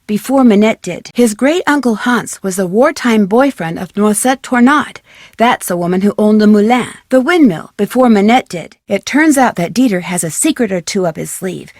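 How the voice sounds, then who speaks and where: A woman acts as a plucky young female sleuth in a video game. She speaks in an earnest, chatty way at a middling pitch, curious and clear. The sound is close and dry, with no room to it. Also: darkish tone, no echo, close to an everyday voice. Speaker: voice actor as game heroine. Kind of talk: scripted video game dialogue.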